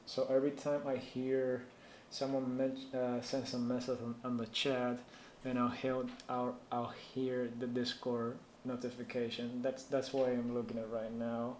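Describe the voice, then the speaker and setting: A man's voice: mid-range voice, a little mellow. Echoey Puerto Rican streamer, native Spanish-speaking man. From Puerto Rico. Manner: rambling, thinking aloud while reading chat. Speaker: live stream host giving PC troubleshooting advice. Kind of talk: casual live stream chat. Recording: echoey room with faint noise.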